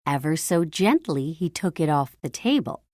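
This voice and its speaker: A woman narrating a children's storybook in an expressive read-aloud style, with deliberate, dramatic and emphatic phrasing. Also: low voice, no room sound, studio quality.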